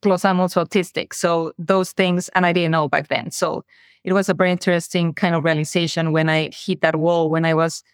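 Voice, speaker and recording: A woman with a Mexican accent, her first language Spanish, speaking in a somewhat low voice. She recounts her path earnestly and thoughtfully, in a dry, close recording with no room sound. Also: little pitch movement, fairly quick, clean tone, no echo. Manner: earnest, reflective storytelling. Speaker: guest, revenue operations director. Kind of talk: interview conversation.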